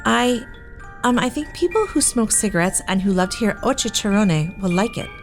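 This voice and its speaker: A woman's voice: medium pitch, expressive, normal speed, faint music behind, natural voice. A woman playing a cautious shop clerk in a radio play, hesitant and diplomatic.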